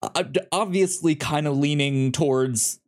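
A podcast co-host of unclear sex chatting casually about films in a halting, repetitive way with many false starts, sometimes excitable. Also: medium pitch. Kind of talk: casual film review chat.

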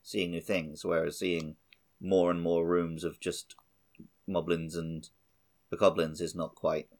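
A man's voice: sits low, little pitch movement, takes it slowly, darkish tone. A man co-hosting a casual gaming podcast, jokey and given to acting out imagined quotes. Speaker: podcast co-host.

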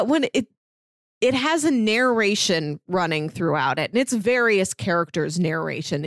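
A woman's voice: lowish voice, dry recording, clean studio sound. A woman co-hosting a podcast, discussing a book in a casual, chatty way. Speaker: podcast co-host.

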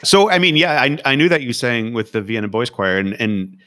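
A man's voice: mid-range voice, very animated, fairly quick, sharp tone, no room sound, studio quality. A man from the United States interviewing a guest in casual talk, friendly and encouraging with short replies.

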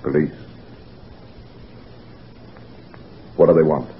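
An actor playing an anguished, secretive convalescent gentleman doctor in a brooding, melodramatic 1940s radio drama style. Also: extremely low pitch, steady tone, rapid-fire. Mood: uneasy. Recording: noisy, tinny 1940s radio recording.

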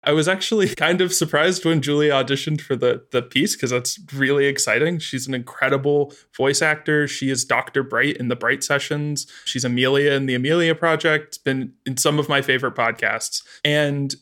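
Male interview guest, medium pitch, conversational and keen, explaining creative work. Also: steady tone, normal speed, hardly any pauses, a bit bright. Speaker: guest, audio drama adapter.

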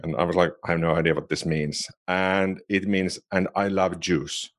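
A man's voice: low-pitched, level tone, moderate pace. A man with a Finnish accent co-hosting a podcast, chatting casually and a little self-deprecatingly. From Finland. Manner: chatty, self-deprecating, restarts phrases often. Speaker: podcast co-host. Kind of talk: casual chat.

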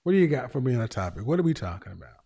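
A man's voice: mid-range voice, sing-song, rapid-fire, vocal fry, dark tone, breathy edge. A man hosting a call-in show, blunt and interrupting, with a big voice and a habit of repeating his phrases. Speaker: show host. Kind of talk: live call-in show talk.

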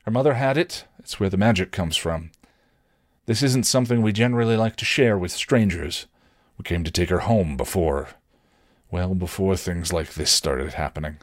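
A man voicing a stern, controlling middle-aged father with a gruff, insistent manner in a story reading. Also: low-pitched, normal speed, no echo, clean studio sound. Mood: grave, defensive.

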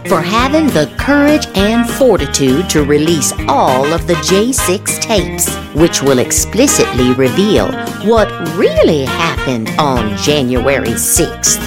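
A woman's voice: sits low, very animated, slow pace, few pauses. An American woman hosting a show, reading social media posts aloud in a dramatic, emphatic way with exaggerated inflection. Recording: loud music bed behind the voice. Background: loud music.

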